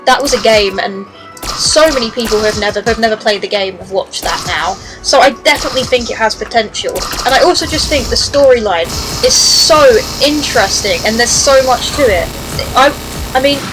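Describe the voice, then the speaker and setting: A fairly high-voiced woman talks through a video game run, chatty and excitable, swearing freely as she plays. Her voice sits a little lower than usual after days of streaming. Game music plays clearly behind her. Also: low-quality recording. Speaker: gaming streamer. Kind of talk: casual gameplay commentary.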